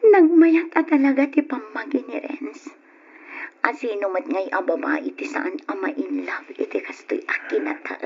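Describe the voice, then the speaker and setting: A woman playing a lovesick, possessive young woman in an old-style Ilocano radio drama, pleading melodramatically with an Ilocano accent. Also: on the high side. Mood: infatuated. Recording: muffled, noisy radio drama recording.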